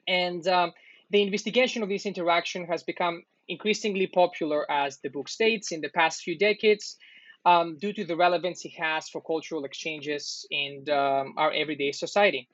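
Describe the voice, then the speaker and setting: A man's voice: a little high, steady tone, moderate pace, fairly bright tone, no echo. A man hosting a podcast, introducing his guest with many ums. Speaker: podcast host. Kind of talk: interview introduction. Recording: dull dry lo-fi audio.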